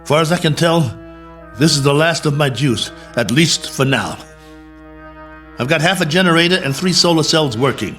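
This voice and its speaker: An actor playing a stranded, injured astronaut colonel in a radio drama, weary and stubborn, with terse, sardonic delivery. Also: male voice, fairly high pitch, moderate pace, a bit bright, lightly acted. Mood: wry, matter-of-fact. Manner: terse, sardonic, clipped reporting. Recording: radio drama with music underneath.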